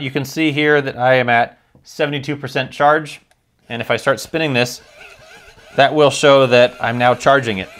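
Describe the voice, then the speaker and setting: A man with a mid-pitched voice presents a product review. He talks casually and candidly, explaining things step by step in a conversational way with dry humour. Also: a bit bright.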